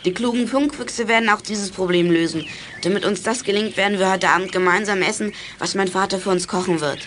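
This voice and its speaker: A woman's voice: mid-range voice, brisk, fairly bright tone, lightly acted. A cheeky young boy played by a woman talks in brash slang and teases his friends. He is a plucky kid detective in a 1980s radio play, with a little background noise. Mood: brisk, confident.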